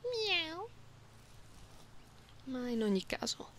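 A woman playing a gentle, playful cat-girl in an ASMR roleplay, speaking softly and intimately, often slipping into whispers. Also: sits high, very animated, full character voice. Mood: playful, teasing. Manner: slow, soothing, intimate, teasing, with meows and purry hums. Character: gentle, playful genetically modified cat-girl comforting someone lonely. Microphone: close binaural microphone. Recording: close binaural recording with faint background noise.

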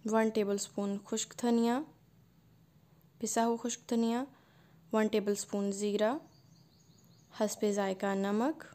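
A woman, hosting a cooking channel and calmly narrating a recipe step by step. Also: medium pitch, unhurried, long pauses. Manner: calm step-by-step instruction.

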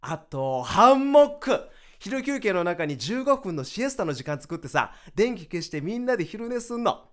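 A man's voice: high voice, very animated, normal speed. A man acting in a radio drama as an upbeat, chatty vice president of a new startup. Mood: excited, cheerful. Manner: exuberant, chatty, swinging from cheers to concern.

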